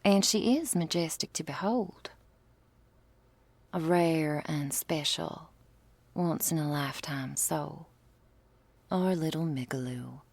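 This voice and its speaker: A woman acts out a doting female vampire in an intimate ASMR roleplay. Her voice sits low with a creaky edge, and she takes her time. She is wryly playful and old-fashioned, recorded close and dry. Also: takes it slowly, pauses often, a little mellow, no room sound, heavily acted. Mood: fond, wry. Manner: breathy asides, pet names, quaint turns of phrase.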